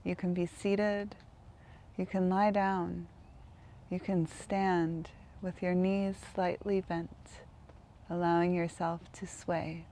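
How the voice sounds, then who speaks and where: Female meditation guide: soft-toned and relaxed, gravelly fry, gentle unhurried phrasing with long gaps. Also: on the low side, a little hiss or hum.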